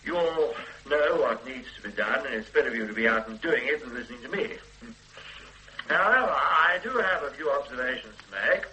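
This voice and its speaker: An actor playing a measured, dryly authoritative police detective in a radio drama. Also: male voice, mid-range voice, expressive, pushed and bright, a little hiss or hum, poor audio. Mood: briefing. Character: methodical middle-aged police detective superintendent. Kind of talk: 1970s radio drama acting.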